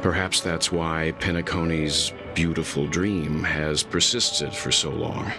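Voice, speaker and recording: An actor playing a grave, thoughtful middle-aged gentleman mentor in a video game, measured and formal. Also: very deep voice, steady tone, over music. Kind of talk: video game cutscene acting.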